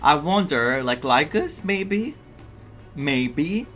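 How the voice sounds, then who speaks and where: A man's voice: on the high side, expressive, extremely slow, fairly bright tone. A man streaming a game, commentating casually in a gushing, reactive way. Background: game music.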